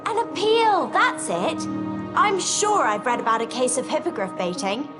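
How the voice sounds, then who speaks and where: A woman's voice: sits high, expressive, normal speed. A woman playing a bossy, bookish teenage witch schoolgirl in a video game, prim and emphatic, with an English accent. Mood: earnest, insistent. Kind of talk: video game cutscene acting. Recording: loud music under the voice.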